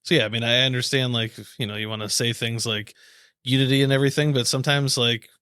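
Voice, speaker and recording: A man co-hosting a sports podcast, talking informally and bluntly in halting bursts. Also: mid-range voice, steady tone, pushed and bright, smooth, dry recording, clean studio sound. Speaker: podcast co-host. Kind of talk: casual sports podcast chat.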